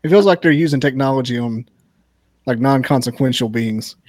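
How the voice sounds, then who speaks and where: A man's voice: mid-range voice, normal speed, darkish tone, no room sound, studio quality. A man chatting casually in an offhand, wry, conspiratorial way. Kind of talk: casual chat.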